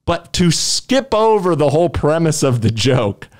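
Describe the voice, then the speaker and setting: A man's voice: on the high side, big pitch swings, a little slow. A man co-hosting a casual podcast, talking emphatically and defiantly, rambling with frequent restarts. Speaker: podcast co-host.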